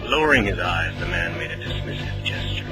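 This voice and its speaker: Male narrator, dramatic fantasy storytelling, lowish pitch, prominent score underneath. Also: animated, fairly quick. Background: loud music.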